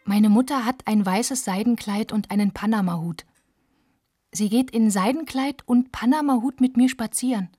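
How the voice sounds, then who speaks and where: Slightly husky, higher-voiced woman narrator. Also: normal speed, warm tone, no echo, studio quality. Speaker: narrator reading literary prose. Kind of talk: scripted first-person literary narration, short simple sentences. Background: music.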